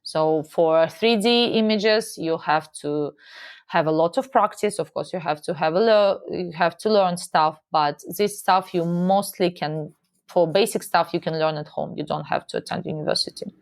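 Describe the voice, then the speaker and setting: A woman from Russia speaking with a Russian accent, a guest talking in a halting but lively way in a casual interview. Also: on the low side.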